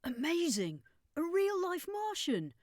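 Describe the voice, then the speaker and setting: An eager young boy, played by a woman in an audiobook adventure. His voice is high and he speaks with excitable, polite curiosity. The sound is dry. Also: takes it slowly, dry recording, full character voice. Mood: amazed.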